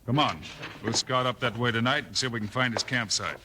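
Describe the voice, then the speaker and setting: An actor playing a calm, commanding American frontier lawman in a 1950s radio western. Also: medium pitch, fast talker, noisy background, lightly acted. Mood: calm, decisive. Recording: old 1950s radio recording.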